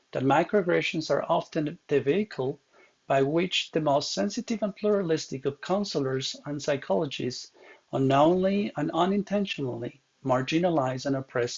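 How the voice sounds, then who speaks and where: A man reads a prepared presentation in a measured, earnest voice that slips on a word now and then. His voice is gravelly and a little husky. The recording is muffled and lo-fi. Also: a little high, a little mellow. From Ecuador. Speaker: counseling student. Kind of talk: read presentation narration.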